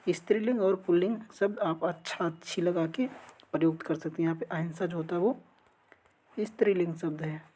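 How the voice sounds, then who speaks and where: A woman's voice: on the low side, a little fast, warm tone, breathy edge. A woman teaching an exam-prep lesson, reading out quiz questions and answering them in an instructional way. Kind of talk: lesson going through multiple-choice quiz questions.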